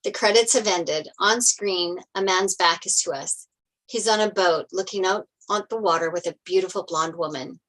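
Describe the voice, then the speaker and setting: A woman narrates a screenplay, reading out the stage directions. Her voice is a little low in pitch, in a table-read style. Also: normal speed, a bit bright, low-quality recording.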